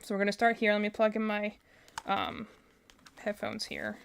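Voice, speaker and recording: A woman's voice: medium pitch, little pitch movement, moderate pace, creaky, clean tone. A woman hosting a livestream in a casual, rambling way, thinking aloud and often correcting herself as she goes. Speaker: livestream host.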